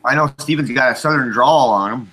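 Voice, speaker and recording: A man's voice: medium pitch, moderate pace, bright, forceful tone, a little hiss or hum, rough recording. A man with a Minnesota accent chatting casually on a live video call, laughing often and easygoing. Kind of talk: casual chat.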